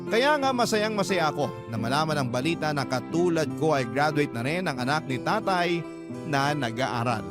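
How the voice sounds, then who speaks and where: High-voiced male radio storyteller over music. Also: big pitch swings, normal speed. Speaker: radio drama narrator. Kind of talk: first-person dramatic storytelling narration. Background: prominent guitar music.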